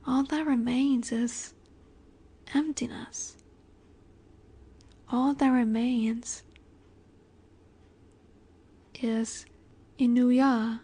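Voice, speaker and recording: Soft, slow-speaking vampire seductress, acted by a woman. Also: a little high, little pitch movement, exaggerated voice. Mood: hushed, wistful. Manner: soft, breathy, measured, often dropping to a whisper. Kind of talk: intimate scripted audio roleplay.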